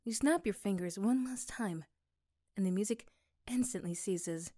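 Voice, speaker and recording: A woman's voice: mid-range voice, unhurried, dark tone, no room sound, studio quality. A woman reading scripted story narration for an ASMR roleplay.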